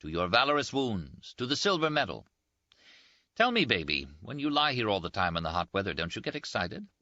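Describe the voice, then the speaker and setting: A man voicing a brash, teasing young Italian army surgeon in an audiobook, quick and boastful. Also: on the low side, very animated, pushed and bright, no echo, muffled recording, voice pushed up, exaggerated voice. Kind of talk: audiobook character voice.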